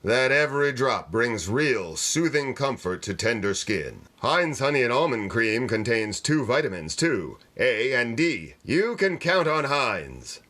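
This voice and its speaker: A man's voice: medium pitch, pushed and bright. A man playing a persuasive, polished 1940s radio announcer with emphatic delivery. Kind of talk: 1940s radio advertising pitch and banter.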